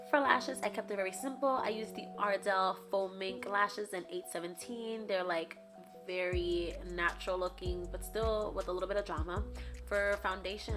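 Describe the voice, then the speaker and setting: Young woman, hoarse and raspy, casual chatty tutorial read, lowish voice, music loud behind. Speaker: beauty vlogger. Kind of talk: makeup tutorial voice-over. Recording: voice-over with loud background music.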